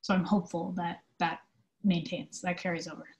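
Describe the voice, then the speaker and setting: Woman, lowish voice, thoughtful interview guest, earnest, halting phrasing, conversational. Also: little pitch movement, darkish tone, slight background noise. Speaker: interview guest, theatre company manager.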